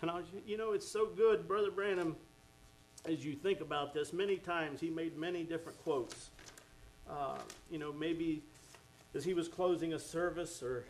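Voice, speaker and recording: Fervent preacher man, raised pitch. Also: fairly even intonation, normal speed, slight background noise, poor audio. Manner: fervent, exclaims "oh my" and "amen". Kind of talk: sermon.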